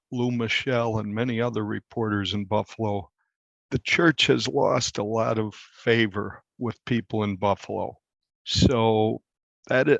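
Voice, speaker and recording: A man being interviewed as a reporter, speaking in a measured, halting way and repeating phrases for emphasis. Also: mid-range voice, vocal fry, no echo, muffled recording.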